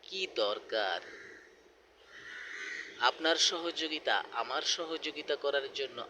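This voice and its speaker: A man reciting a poem in a dramatic style, deliberate and emphatic, pausing between short phrases. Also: a little high, expressive, noisy background. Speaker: poetry reciter.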